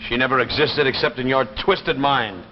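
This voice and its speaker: A man's voice: mid-range voice, moderate pace, close to an everyday voice. An actor playing a stubborn, driven man with an American accent, speaking intensely in a 1950s television drama. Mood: skeptical, irritated. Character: stubborn, driven man hunting for proof of saucers. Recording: muffled 1950s television soundtrack, faint noise.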